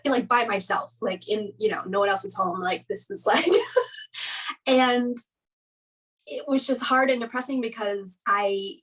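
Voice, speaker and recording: Woman in her 30s on a video call, rambly chatty guest, sounds a bit far off the mic. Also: on the high side. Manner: chatty, rambling, with frequent likes and laughs. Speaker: interview guest. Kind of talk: casual interview chat.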